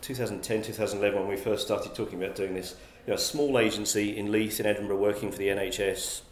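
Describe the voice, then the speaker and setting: A man's voice: lowish voice, steady tone, quick pace. A man, answering interview questions thoughtfully and often restarting his sentences. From England. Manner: thoughtful, frequent restarts and repeated words. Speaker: guest, magazine publisher. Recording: roomy echoey sound.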